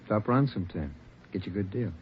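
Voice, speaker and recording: A man's voice: on the low side, animated, fast, slight background noise, close to an everyday voice. An actor playing a nervous man suspected of murder, in 1940s radio drama acting, evasive and defensive. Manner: evasive, defensive, rising to agitated outbursts. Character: nervous male murder suspect under interrogation. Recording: dull old radio broadcast.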